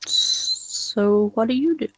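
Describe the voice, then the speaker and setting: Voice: mid-range voice, huge pitch swings, takes it slowly, fairly bright tone, slight background noise, poor audio. A player at a tabletop game, wry and playful while describing a character's actions. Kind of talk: casual play at a tabletop game.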